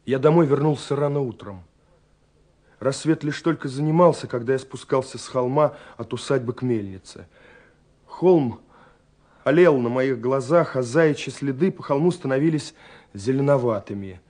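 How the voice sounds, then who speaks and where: A man's voice: medium pitch, moderate pace, a little mellow, natural voice. An actor playing a dreamy, romantic young man in a radio play, musing tenderly over his love letters in a voice that often sinks toward a whisper. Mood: quiet narrating, expectant. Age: young adult. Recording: 1970s radio recording with music behind. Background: music.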